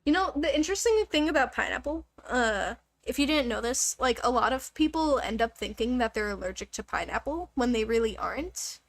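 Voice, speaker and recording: A woman's voice: on the high side, expressive, brisk, few pauses, a bit bright. A woman livestreaming a game, chatting casually with viewers in a joking, self-deprecating way. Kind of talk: casual rambling chat with viewers.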